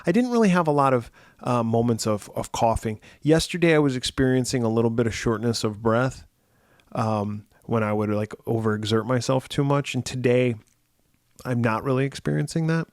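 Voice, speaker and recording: A man in his forties, hoarse and raspy after being ill, co-hosting a podcast in casual chat, rambling with fillers and restarts. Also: mid-range voice, moderate pace, darkish tone, no room sound, studio quality. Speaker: podcast co-host.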